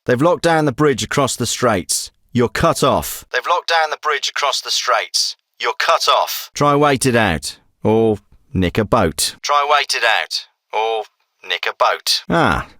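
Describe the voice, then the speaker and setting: An actor playing a businesslike special forces commander in a military video game, speaking in a clipped, authoritative, terse way. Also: male voice, medium pitch, sharp tone, no echo, clean studio sound. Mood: urgent, businesslike, then dry, approving. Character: gruff, businesslike male special forces commander briefing an operative.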